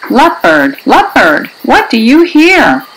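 A woman reading aloud to young children as a storyteller, in an expressive, singsong and emphatic manner. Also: high voice, slight background noise, rough recording. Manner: singsong, deliberate, emphatic delivery. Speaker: children's read-aloud host. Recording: music behind the voice. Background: music.

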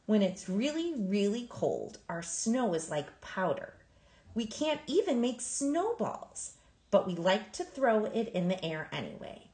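Animated woman reading a storybook, roomy sound. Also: medium pitch. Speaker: storybook reader. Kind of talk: expressive read-aloud of a children's picture book.

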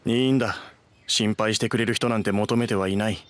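A man's voice: on the low side, steady tone, a little fast, slight background noise, close to an everyday voice. An actor playing a proud, fretful, down-on-his-luck bookish man with weary, old-fashioned speech in an anime game. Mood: resigned. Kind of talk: Japanese anime game dubbing.

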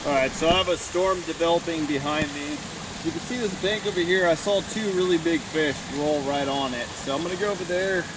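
A man in his thirties narrates his fishing trip in a plain, matter-of-fact way, speaking to an action camera strapped to him. He stands outdoors on a riverbank below a dam, and wind and rushing water stay clearly audible behind his voice. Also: on the high side. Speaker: fishing vlogger. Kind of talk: casual outdoor vlog narration. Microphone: action camera, chest or head mounted.

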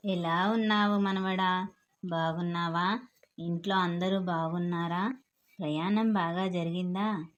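A woman's voice: on the low side, steady tone, a little slow, highs cut off, voice pushed down, lightly acted. A woman voicing a kindly, hardworking old village grandmother in a children's story. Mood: caring.

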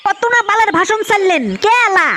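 A performer with a pitched-up voice filter plays a clueless, grumbling cartoon buddy. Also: brisk, pushed and bright. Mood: indignant. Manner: complaining, crude. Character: cartoon elder buddy, clueless, grumbling, foul-mouthed creditor. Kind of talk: comic cartoon banter.

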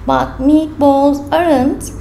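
A woman's voice: sits high, steady tone, slow, gravelly, warm tone, reverberant, a little hiss or hum. A woman teaching English, reading worksheet questions aloud and explaining each answer step by step. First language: Turkish. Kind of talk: worksheet walkthrough lesson. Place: indoors at a desk over a paper worksheet.

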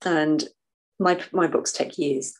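A woman in her sixties with a soft voice, talking animatedly as a guest on a video call from a room at home. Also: low-pitched, slow. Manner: animated, waves arms while talking. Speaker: guest author and artist. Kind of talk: casual video call interview.

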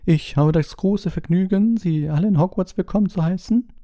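A man voicing an elderly, genial wizard headmaster giving formal, measured addresses in a storybook reading. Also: high voice, warm tone, no echo, studio quality, voice pushed up. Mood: beaming, welcoming. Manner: ceremonious, measured public address.

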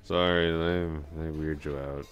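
A man acts out a drunken male coworker with a crush, in a very deep, slow-moving voice. He rambles and repeats himself, bashful and self-deprecating, in an intimate ASMR roleplay. Faint music plays behind him. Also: fairly even intonation. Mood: dejected, embarrassed.

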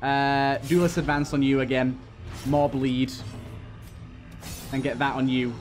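A man's voice: mid-range voice, level tone, measured pace. A man giving casual video game commentary, thinking aloud and often repeating himself. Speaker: let's play host. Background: game music.

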